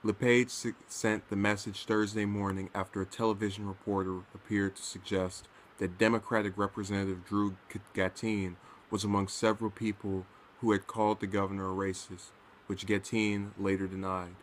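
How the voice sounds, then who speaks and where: A man's voice: fairly low pitch, flat delivery, moderate pace, slight background noise, rough recording. A man casually reading and commenting on news, stumbling over his words now and then.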